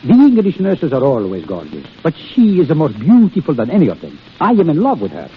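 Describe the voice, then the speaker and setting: Exuberant surgeon-officer: highish, swooping, theatrical, muffled vintage radio sound. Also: male voice, huge pitch swings, fairly quick. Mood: exuberant, boastful. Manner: expansive, theatrical, foreign phrasing. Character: flamboyant, boastful Italian army surgeon, fun-loving charmer. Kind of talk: 1930s radio drama acting. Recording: muffled, noisy old radio recording.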